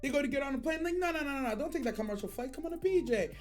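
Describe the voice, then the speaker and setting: A woman hosting a casual reaction video, talking in an animated, slangy way with some swearing. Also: sits high, racing.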